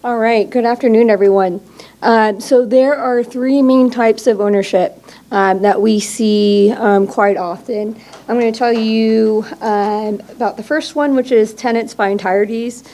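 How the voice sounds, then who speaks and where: A woman's voice: on the high side, steady tone, measured pace, mellow. A woman presenting at a legal aid workshop, explaining steadily with frequent ums and you knows. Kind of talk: public workshop talk. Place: expo hall.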